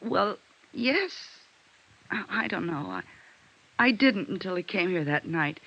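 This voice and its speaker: A woman's voice: medium pitch, lively intonation, measured pace, a bit bright. An actress playing a scared young nightclub showgirl, by turns defiant and pleading, in 1950s radio drama style. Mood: scared, defensive. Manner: urgent, pleading. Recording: dull vintage radio recording.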